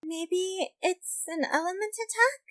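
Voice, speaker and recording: A woman's voice: extremely high pitch, measured pace, a bit bright. A woman voicing a bubbly, devoted young girl gamer in a cutesy, eager manner for an audiobook drama. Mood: timid suggesting. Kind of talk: amateur audiobook drama character acting.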